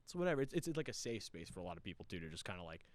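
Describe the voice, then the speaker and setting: A man hosting a casual podcast chat, easygoing and quick to laugh, with lots of fillers. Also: deep voice, very animated. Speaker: podcast host.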